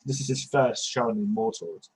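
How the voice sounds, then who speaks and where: Husky young man on headset. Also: mid-range voice, steady tone, moderate pace, darkish tone, low-quality recording. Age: 20s. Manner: rambling, many restarts and fillers. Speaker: podcast host. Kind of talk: casual video-call chat. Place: small bedroom. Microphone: headset on video call.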